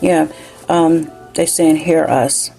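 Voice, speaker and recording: A woman with a slight Southern country twang gives a relaxed spiritual card reading, talking to her listeners like friends. Her voice sits low and mellow with a gravelly edge. Soft music plays quietly under her. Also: slow, low-quality recording. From New Jersey, raised in South Carolina. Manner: chatty, keeps saying "you know what I'm saying". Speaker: tarot card reader.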